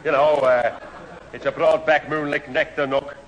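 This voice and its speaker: An actor playing a jovial, pretentious pub crony who mangles big words in an old radio sitcom. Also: male voice, a little high, steady tone, moderate pace, fairly bright tone, close to an everyday voice. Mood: coaxing. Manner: jovial, malapropism-prone. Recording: dull, noisy old radio recording, echoey hall.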